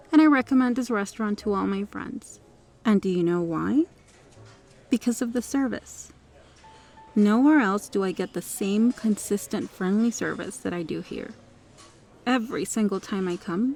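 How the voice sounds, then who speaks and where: A woman's voice: mid-range voice, darkish tone, close to an everyday voice. A woman playing a kind, protective restaurant regular in an ASMR roleplay, speaking in a polite, articulate, reassuring way. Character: kind, well-spoken female restaurant regular, firmly protective. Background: music.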